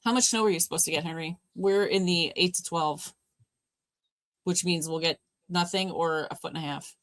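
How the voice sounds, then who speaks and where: A woman with a deep voice hosting a live watch party, chatting casually and answering viewers' comments by name. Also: steady tone, moderate pace, dry recording. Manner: chatty, warm replies to chat, addresses viewers by name. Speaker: livestream host.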